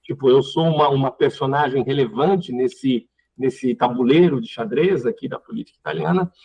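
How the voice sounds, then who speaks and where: A man's voice: mid-range voice, moderate pace, husky, lo-fi sound. A Brazilian man giving unscripted political commentary as a panel guest, often repeating words as he searches for phrasing. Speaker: panel guest, community representative. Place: online live call.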